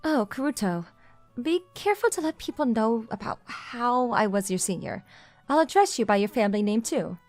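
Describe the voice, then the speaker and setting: A woman's voice: a little high, expressive, moderate pace, dry recording, faint music behind, lightly acted. A young woman playing a confident, pushy female CEO who teases her junior in a manga dub romance. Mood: tipsy, sulky. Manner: straightforward, teasing, self-assured.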